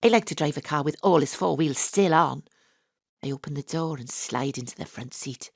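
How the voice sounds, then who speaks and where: Low-voiced, husky, hard-boiled female detective. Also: normal speed, no echo, clean studio sound. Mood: deadpan, wry. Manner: wry, deadpan. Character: hard-boiled wisecracking female private detective, sardonic and impatient. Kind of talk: first-person noir narration in an audio drama.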